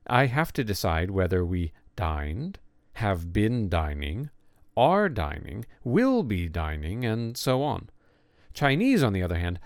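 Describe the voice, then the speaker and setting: A man reading an essay aloud as scripted narration, in a measured, lively way with pointed emphasis on words. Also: on the low side, dry recording, clean studio sound.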